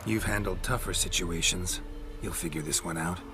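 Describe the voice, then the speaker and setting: An actor playing a gruff, stoic monster-hunting mercenary in a video game, terse and dry, with a gravelly, breathy rasp. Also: male voice, deep voice, moderate pace, over music, close to an everyday voice. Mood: dry, steady. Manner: terse, clipped, understated. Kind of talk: dramatic video game acting.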